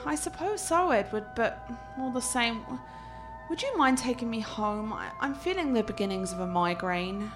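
A woman plays a troubled, genteel horror heroine for a radio play. Her delivery is theatrical and formal, with wide swoops in pitch over faint music. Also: animated, moderate pace, lightly acted. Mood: anxious, subdued. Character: intense, troubled genteel girlfriend haunted by nightmares. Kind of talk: dramatic radio play acting.

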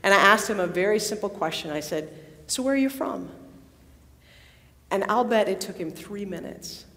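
A woman addressing a student worship gathering in a large hall, telling a story with pauses and fillers. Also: mid-range voice, a bit bright. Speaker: campus pastor. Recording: long reverb in a large hall.

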